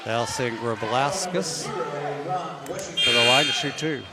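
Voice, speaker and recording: A man giving rapid, clipped play-by-play commentary on a basketball game. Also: mid-range voice, over a noisy crowd. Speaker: play-by-play announcer.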